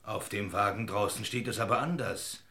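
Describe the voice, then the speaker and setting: An actor playing an officious, suspicious police sergeant in a crime radio comedy. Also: male voice, on the low side, normal speed, bright, forceful tone. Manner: officious, plain-spoken. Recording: roomy, echoing radio play scene.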